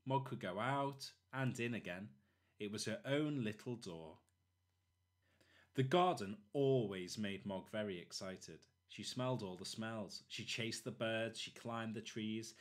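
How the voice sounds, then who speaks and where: Man reading a children's storybook aloud. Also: lowish voice. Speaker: storybook narrator. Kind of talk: expressive children's read-aloud.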